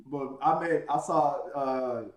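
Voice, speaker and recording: A man's voice: mid-range voice, steady tone, slow, over a noisy crowd. Joking man hosting in an echoey room. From Houston, Texas. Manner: loose, joking, many restarts. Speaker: podcast host. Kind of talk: casual live-stream chat.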